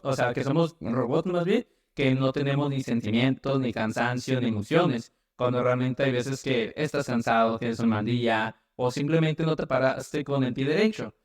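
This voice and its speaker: A young Mexican man in his twenties gives conversational interview answers. His voice sits in a middle pitch and he talks in an informal, everyday way. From Mexico City, Mexico. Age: 20s. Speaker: interview guest, medical student and paramedic.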